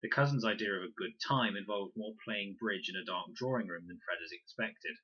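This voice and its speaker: A man reading a children's novel aloud as expressive, deliberate scripted narration. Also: fairly low pitch, husky, muffled recording. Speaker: story reader, teacher.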